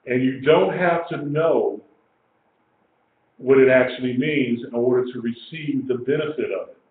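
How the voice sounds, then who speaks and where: Male religious teacher, 60s, emphatic and repetitive, medium pitch, echoey small room, phone-like sound. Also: unhurried. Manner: emphatic, repeats phrases for emphasis. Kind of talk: informal sermon, teaching to a small group. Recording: echoey, tinny lo-fi webcam stream with hiss.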